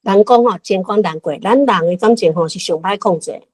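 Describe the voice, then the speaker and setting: A woman's voice: mid-range voice, brisk, a little mellow, breathy edge, no room sound. A woman with a Taiwanese accent giving a prepared, reflective commentary on a story as a guest.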